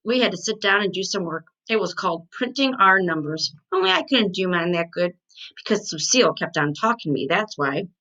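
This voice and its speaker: A woman's voice: fairly low pitch, lively intonation, brisk, bright, forceful tone, dull audio. A woman voicing a chatty, indignant kindergarten girl from a storybook, with emphatic childish phrasing.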